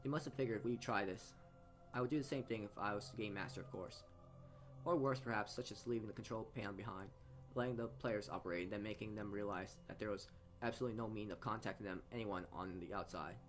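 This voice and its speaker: Halting man reading aloud over music. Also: lowish voice. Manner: halting reading, often stumbling and rereading words. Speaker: player reading a visual novel aloud. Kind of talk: reading a story game aloud, informal narration.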